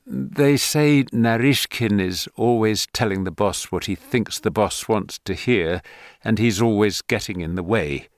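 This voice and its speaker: A man's voice: fairly low pitch, a little slow, dry recording, clean studio sound. A man doing an English woman scholar on the phone. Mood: explaining.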